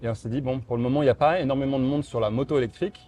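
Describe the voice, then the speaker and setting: A man's voice: mid-range voice, rapid-fire, dark tone, a little hiss or hum. A man representing a motorcycle brand, answering interview questions in a casual, hesitant way, with many fillers and restarts.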